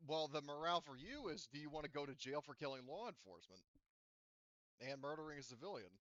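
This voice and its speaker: A man's voice: on the high side, expressive, fast talker, fairly bright tone, dry recording, dull audio. A man speaking casually as game master of a tabletop session, wry and chiding with his players.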